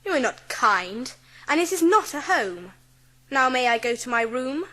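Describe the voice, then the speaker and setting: A woman playing a proud, bereaved young girl in an audiobook drama, composed, formal and quietly defiant. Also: high-pitched, very animated, measured pace, heavily acted. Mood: quiet defiance. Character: proud, self-possessed, bereaved seven-year-old girl.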